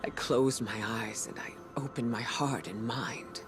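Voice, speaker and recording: Game cutscene role: grave, weary woman mystic facing doom, low and deliberate over loud music. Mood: solemn, awed. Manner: solemn, deliberate, hushed at phrase ends. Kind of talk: dramatic video game cutscene acting.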